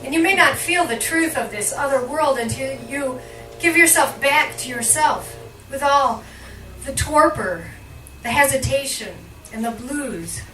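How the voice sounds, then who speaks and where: A woman poet reads aloud in a bright, forward voice that sits somewhat high. Her phrasing is measured and deliberate, leaning on each line break. It is a live cafe recording, caught from well back in a very roomy space. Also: soft background music. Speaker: poet, featured reader at a poetry reading. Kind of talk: live poetry reading. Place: indoor cafe poetry reading. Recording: roomy, distant live recording with faint noise.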